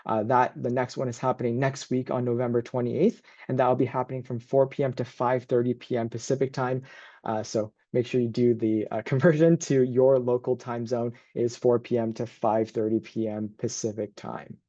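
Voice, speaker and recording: A man's voice: medium pitch, flat delivery, normal speed, dark tone, dry recording, lo-fi sound. A man working as a university admissions advisor, hosting and presenting an informal online information session.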